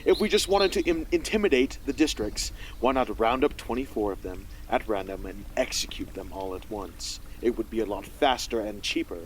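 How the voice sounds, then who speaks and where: A man's voice: fairly low pitch, expressive, moderate pace, few pauses, slight background noise, natural voice, rough recording. A man playing a cold, calculating, condescending dictator in a radio drama, with pointed, menacing delivery. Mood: cold, lecturing. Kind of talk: hobby radio drama acting.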